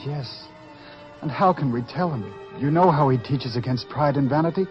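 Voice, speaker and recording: A male actor plays an anxious, hesitant male disciple with a mid-pitched voice. His delivery is theatrical and conscience-stricken, as in a religious radio drama. The recording is dull, with music. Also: moderate pace, close to an everyday voice. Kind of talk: old-time religious radio drama acting.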